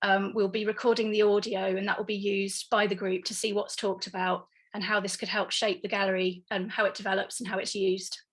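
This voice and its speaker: A woman's voice: mid-range voice, flat delivery, brisk, few pauses. Tentative woman hosting online. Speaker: event host. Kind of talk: informal spoken introduction to an online event. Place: online video call.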